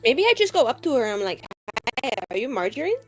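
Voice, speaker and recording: A woman's voice: high voice, big pitch swings, fast talker, soft background music, poor audio. A woman playing a tabletop roleplaying game, bantering casually in character with dry sarcasm.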